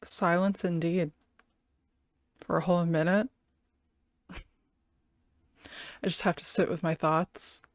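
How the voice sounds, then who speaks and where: A woman's voice: lowish voice, fairly even intonation, slow pace, long pauses, dry recording. Casual woman reacting, dry close sound. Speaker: music reaction host. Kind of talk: casual reaction commentary with some reading aloud.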